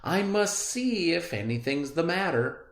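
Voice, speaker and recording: A man voicing a concerned fairy-tale hunter with emphatic, drawn-out exclamations. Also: fairly high pitch, animated, a bit bright, pitched above the performer's own voice. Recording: roomy, echoey indoor recording.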